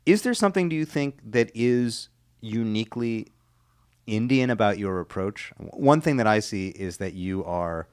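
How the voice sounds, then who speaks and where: A man's voice: on the low side, expressive, moderate pace, clear, resonant tone, dry recording, studio quality. A man hosting an interview, asking thoughtful questions in an agreeable way and often saying yeah along the way. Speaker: interview host.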